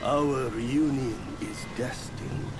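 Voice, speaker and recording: Sly, grandiose yaoguai mentor for a game cutscene: gravelly, unhurried, poetic and pompous, over loud music. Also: male voice, mid-range voice. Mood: wry, welcoming. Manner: theatrical, poetic, self-important. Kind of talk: video game cutscene acting.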